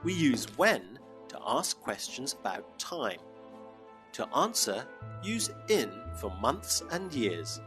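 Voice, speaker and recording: A man hosting an English lesson, giving scripted explanations and modeling phrases slowly for learners to repeat. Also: mid-range voice, sing-song, fairly bright tone, faint music behind. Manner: slow, clearly modeled phrases for repetition. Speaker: English teacher host.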